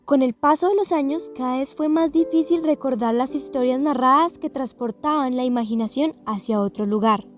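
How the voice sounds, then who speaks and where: A woman's voice: sits high, moderate pace, few pauses, soft background music. A woman reading scripted documentary narration.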